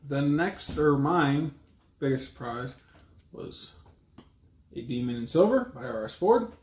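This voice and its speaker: A man's voice: medium pitch, expressive, measured pace, a little mellow. A man in his thirties gives blunt, casual opinions on books. His plain-spoken delivery is picked up by a camera mic in a roomy, echoey space at home. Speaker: book reviewer and co-host of a book vlog. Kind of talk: casual chat about books. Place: small indoor room at home with a bookcase.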